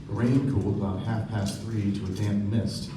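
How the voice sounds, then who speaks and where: A man's voice: lowish voice, little pitch movement, normal speed, lightly acted. An actor playing a polite, wry young man who narrates a radio play. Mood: narrating, measured. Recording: very echoey, distant, noisy stage recording.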